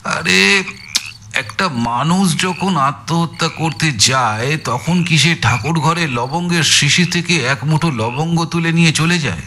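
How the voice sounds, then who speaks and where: A man's voice: a little high, measured pace, bright, forceful tone, a little hiss or hum. An actor playing a shrewd detective in an audio story, musing aloud and repeating words as he reasons and instructs. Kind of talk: Bengali audio story acting.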